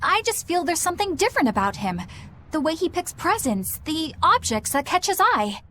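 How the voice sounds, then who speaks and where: A woman's voice: extremely high pitch, big pitch swings, normal speed, pushed and bright, a little hiss or hum, heavily acted. A woman voicing a prim, earnest young female knight in a video game, proper and easily flustered. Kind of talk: English video game voice acting.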